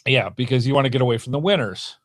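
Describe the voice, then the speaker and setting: An American man hosting a podcast, chatting casually about a trip in a halting, easygoing way. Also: mid-range voice, lively intonation, dry recording, studio quality. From United States, formerly lived in Michigan. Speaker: podcast host. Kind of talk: casual chat, recounting a trip.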